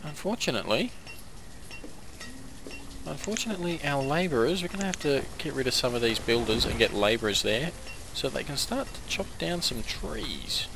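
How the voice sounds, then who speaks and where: A man giving casual commentary while playing a strategy game, hoarse and coughing now and then as his voice wears out, chatting to his villagers as he goes. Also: mid-range voice, expressive, normal speed, noisy background. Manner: chatty, talks to the game's villagers. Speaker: gameplay commentator. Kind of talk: casual let's-play commentary.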